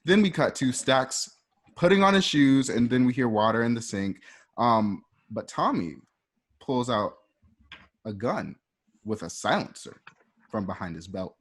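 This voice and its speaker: Radio play narrator: man, middle-pitched, very lively intonation, casual and conversational. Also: measured pace, breathy edge. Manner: conversational, with colloquial phrasing. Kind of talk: casual narration of screenplay action.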